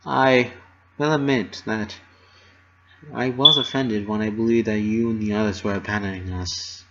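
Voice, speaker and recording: A man voicing a composed, forgiving, refined young female cartoon pony in a measured, formal way on a dull recording. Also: lowish voice, steady tone, sharp tone, slight background noise.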